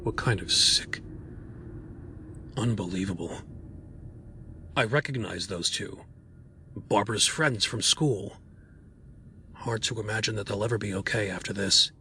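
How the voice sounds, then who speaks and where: A gruff commissioner of police acted by a man in an audio drama, with a fairly low, sharp-edged voice. The delivery is blunt, indignant and exasperated. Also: a little slow, pauses often, slight background noise. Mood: disgusted.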